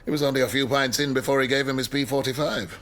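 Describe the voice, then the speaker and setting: An actor playing an outspoken, wry, middle-aged history professor in a radio drama, emphatic and rhetorical. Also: male voice, mid-range voice, steady tone, fast talker, a bit bright, close to an everyday voice. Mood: wry, confiding. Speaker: university lecturer. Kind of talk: radio drama acting, lecturing.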